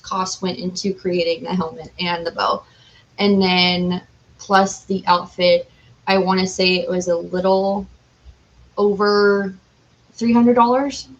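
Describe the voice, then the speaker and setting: A woman chatting casually as an interview guest, talking in an animated, chatty way with "like" sprinkled through. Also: on the low side, slow pace, dull audio, a little hiss or hum. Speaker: podcast guest, cosplayer.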